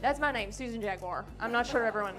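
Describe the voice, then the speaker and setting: A woman's voice: fairly high pitch, fast talker, over loud music, lightly acted. A woman playing a lone-wolf bounty hunter who rambles in an earnest, overly serious way.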